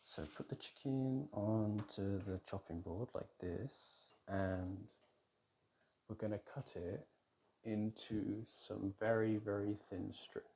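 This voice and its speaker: A British man with a low, soft-edged, dark voice chats intimately in an ASMR cooking roleplay. He is unhurried and hesitant, working out his words as he goes. There is some background noise with small kitchen clicks behind him. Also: steady tone, creaky. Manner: hesitant, unhurried, thinking aloud. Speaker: audio roleplay performer playing a boyfriend cooking. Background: kitchen food preparation sounds.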